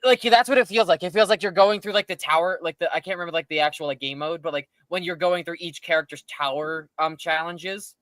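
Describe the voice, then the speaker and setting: A man's voice: a little high, quick pace, few pauses, fairly bright tone, no echo. A man in a casual, informal chat about movies and games, with a complaining manner. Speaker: panelist.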